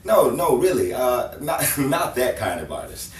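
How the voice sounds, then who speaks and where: A man's voice: mid-range voice, big pitch swings, measured pace. A man playing a flustered, eager-to-please painter in a 1950s-style radio romance, stammering and apologetic. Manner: stammering, apologetic, nervously chuckling. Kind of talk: 1950s-style live radio drama acting. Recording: roomy, distant mic, faint noise, audience.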